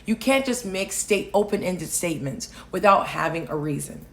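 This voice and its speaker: A woman from Alaska, raised in Hawaii, hosting political commentary, talking loudly and emphatically and answering viewer comments. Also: lowish voice, expressive, normal speed. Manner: loud, emphatic, rising to near shouting on key points.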